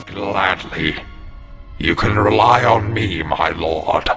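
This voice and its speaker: A man voicing an eager, oily servant of a dark cult in a fantasy game. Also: low-pitched, slow, soft background music, full character voice. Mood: obedient.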